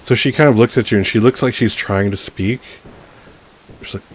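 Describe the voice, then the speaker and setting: A man narrating and ruling as game master of a tabletop roleplaying game, in a relaxed, loose way. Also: fairly low pitch, normal speed, low-quality recording.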